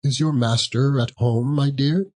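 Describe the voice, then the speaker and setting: A man playing a giddy, reformed old gentleman in an audiobook, exclamatory and effusive, given to chuckling. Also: medium pitch, fairly even intonation, a little slow, close to an everyday voice. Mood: genial.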